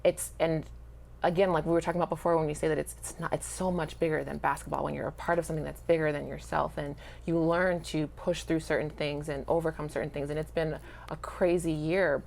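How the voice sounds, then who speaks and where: A woman's voice: sits low, fairly even intonation, fairly quick, gravelly, darkish tone, slight background noise. A woman coach talking candidly and sincerely about her own experience in a conversational interview. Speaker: basketball coach, interview guest. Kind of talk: candid personal interview talk.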